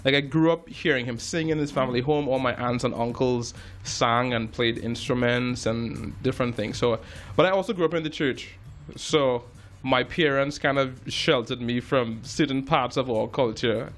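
A man's voice: mid-range voice, moderate pace, soft background music. A man in his thirties from Trinidad and Tobago, a jazz musician telling stories in a casual interview with many fillers and restarts. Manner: relaxed storytelling with frequent fillers and restarts. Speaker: jazz musician and filmmaker, guest.

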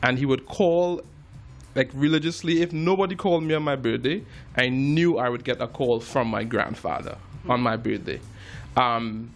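Relaxed Trinidadian-born musician, storytelling. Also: male voice, medium pitch, moderate pace, faint music behind. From Trinidad and Tobago. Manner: relaxed storytelling with frequent fillers and restarts. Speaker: jazz musician and filmmaker, guest. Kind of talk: casual interview.

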